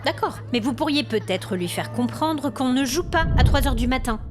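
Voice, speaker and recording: A woman's voice: high voice, animated, normal speed, faint music behind. A woman playing an exasperated, haughty hotel guest with complaints, in an acted audio fiction monologue. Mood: indignant, demanding. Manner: haughty, aggrieved, demanding. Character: indignant, exasperated female hotel guest complaining about ghosts.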